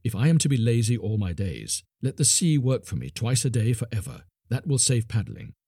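A man's voice: medium pitch, sing-song, a little fast, dark tone, husky, no echo, studio quality. A man voicing a practical, lazy grown man in a storybook reading, speaking matter-of-factly. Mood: plain.

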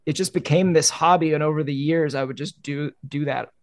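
A man's voice: fairly high pitch, fairly even intonation, fairly quick, no echo. A young man chatting casually as a guest, rambling with many "like"s. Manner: rambling, heavy use of "like". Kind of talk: casual conversation.

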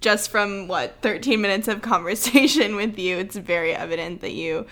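A woman co-hosting an interview, asking a measured, curious question. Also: mid-range voice, fairly bright tone. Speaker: podcast co-host.

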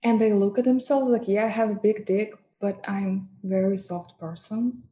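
A woman's voice: medium pitch, steady tone, normal speed, dark tone, slight background noise. A woman with a Russian accent, a guest talking frankly and with lots of laughter about her work, her first language Russian. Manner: frank, laughs often, mock-degrading asides. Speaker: guest, professional dominatrix. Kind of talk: candid interview chat.

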